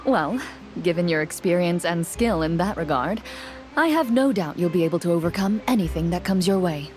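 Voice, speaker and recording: A woman's voice: fairly low pitch, animated, normal speed, darkish tone, soft background music, close to an everyday voice. A woman playing a composed, formal, courteous figure of authority in an anime game.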